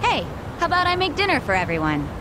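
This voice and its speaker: A woman's voice: high voice, huge pitch swings, fast, background noise. An actress playing a spirited, teasing young woman sidekick in a video game. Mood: teasing, upbeat. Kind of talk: English video game voice acting.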